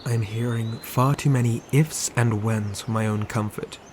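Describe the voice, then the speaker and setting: A man playing a dutiful, ambitious knight and protective brother in a scripted medieval fantasy audio roleplay, with measured, formal phrasing. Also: on the low side, fairly even intonation, a little mellow, slight background noise, natural voice. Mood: exasperated.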